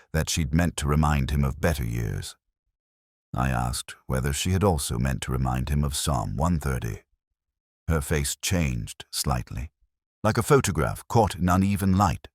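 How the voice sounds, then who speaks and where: A man reading a scripted first-person detective story in a measured, reflective, literary manner. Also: rumbling low, no room sound, studio quality. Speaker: narrator.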